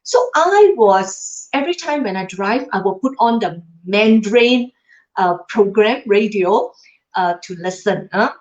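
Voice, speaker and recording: A woman's voice: medium pitch, expressive, measured pace. Chatty middle-aged woman streaming in an echoey room. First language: Cantonese. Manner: addresses viewers directly, tag questions like "huh?" and "lah". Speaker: livestream host. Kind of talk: motivational livestream talk. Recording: roomy, slightly dull livestream audio.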